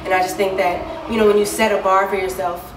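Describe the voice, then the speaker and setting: A woman singer giving a casual interview, talking in a deep, low speaking voice with many easygoing fillers. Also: quick pace. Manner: relaxed and chatty, many fillers like "um" and "you know". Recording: echoey room, mic far off, clear background noise.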